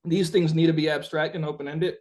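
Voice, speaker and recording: A man's voice: fairly high pitch, flat delivery, brisk, darkish tone. A man being interviewed as a musician, chatting casually and reflectively, searching for his words with informal, sweary phrasing.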